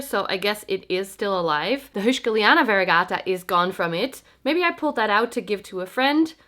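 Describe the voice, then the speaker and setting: Woman, young adult, stuffy cold voice, casual home vlog, mid-pitched, chatty and explanatory. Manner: chatty, self-deprecating, explains plant care. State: congested from a cold. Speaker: plant vlogger. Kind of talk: casual talk to camera. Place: home, indoors.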